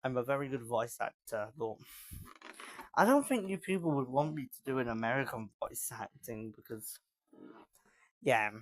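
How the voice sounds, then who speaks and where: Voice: medium pitch, expressive, normal speed, long pauses, no echo. A teenage live streamer with a British accent from Manchester, rambling casually and laughing often while reacting to videos. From England.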